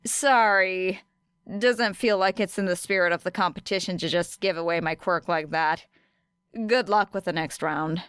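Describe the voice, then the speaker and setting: A woman voicing a scornful, unimpressed teenage girl in a deadpan, cutting way. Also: lowish voice, moderate pace, clean tone, no echo, studio quality, close to an everyday voice. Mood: coolly dismissive.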